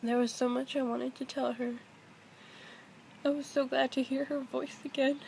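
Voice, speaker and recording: A woman's voice: sits high, level tone, normal speed, gravelly, darkish tone, slight background noise, low-quality recording. A young adult woman talking casually and hesitantly in a personal confessional vlog, recorded at home on a built-in device mic.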